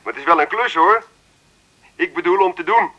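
A man with a Netherlands Dutch accent playing a brisk, businesslike artist making a call in a radio drama. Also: high-pitched, expressive, muffled recording. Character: businesslike male artist phoning to hire a translator.

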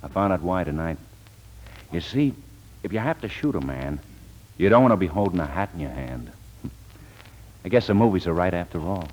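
An American actor playing a wry, folksy but commanding police lieutenant in a 1940s radio noir drama. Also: male voice, low-pitched, animated, normal speed, long pauses, darkish tone, rough recording. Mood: amused.

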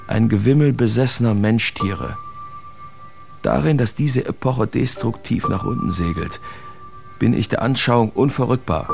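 A man's voice: fairly low pitch, gravelly, heavily acted. An actor playing a brash, cynical big-city painter declaiming his feverish letters in a dramatic radio reading. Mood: bitter, sardonic.

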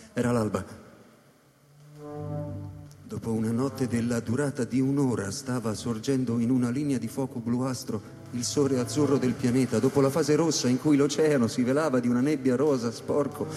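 A man giving first-person dramatic narration in a radio play, deliberate and suspenseful. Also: mid-range voice, warm tone. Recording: echoey hall reverb, music behind.

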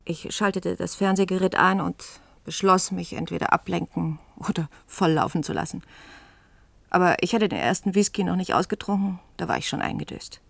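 Wry lady in a radio drama, lowish gravelly voice, chatty, dry studio sound. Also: normal speed, no room sound. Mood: wry, recounting. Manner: dry, amused, conversational. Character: wry, candid woman recounting strange events. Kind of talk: German radio play acting and first-person narration.

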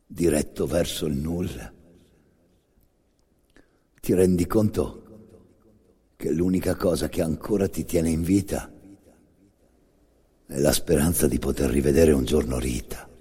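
A man narrating a dramatic second-person theatre monologue with intense, halting delivery in short phrases. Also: a bass voice, mellow, slightly rough. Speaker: actor-narrator. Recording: long hall reverb.

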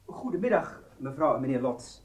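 Unctuous hotel director, husky and lilting. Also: male voice, mid-range voice, sing-song, moderate pace. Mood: obliging, deferential. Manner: formal, fawningly polite, unctuous. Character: obliging, ceremonious hotel director in Cairo. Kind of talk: Dutch radio play acting.

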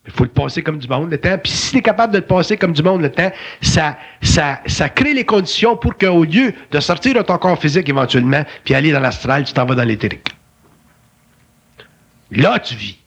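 A man's voice: fairly high pitch, animated, brisk, fairly bright tone, husky. A man with a Québécois French accent, giving emphatic, colloquial spiritual talk in a philosophical conversation. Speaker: spiritual speaker.

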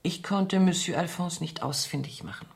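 An actress in a German radio play playing a worldly, coquettish woman accused of spying, answering evasively in a measured, ironic way. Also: fairly low pitch, creaky. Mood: evasive, poised. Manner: coy, ironic, measured, defensive.

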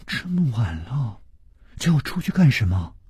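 Man voicing a coy, very breathy young woman. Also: medium pitch, sing-song, moderate pace, a little mellow, no echo, clean studio sound. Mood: shy, coy. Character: bashful, pouting, feisty young village woman in love.

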